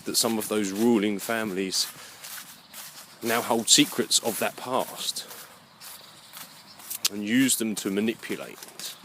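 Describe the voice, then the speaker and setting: A man giving a rambling, unscripted monologue in a halting, musing way, often repeating his phrases. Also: on the low side, pushed and bright, slight background noise. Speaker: vlogger.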